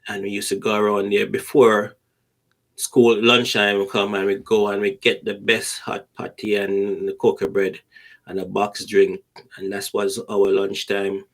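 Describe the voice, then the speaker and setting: A middle-aged Jamaican man with a fairly low voice, a guest in a casual podcast chat. He tells stories in a conversational way and repeats words to drive a point home. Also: level tone, a little slow, creaky.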